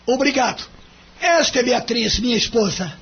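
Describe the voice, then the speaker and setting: Wounded, stubborn older Brazilian husband male actor, very rough husky voice, melodramatic, muffled recording. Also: very high voice, expressive, moderate pace, a bit bright, full character voice. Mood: stiff, formal. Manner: formal, emotional, insistent. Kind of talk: melodramatic audio drama acting. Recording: muffled lo-fi audio.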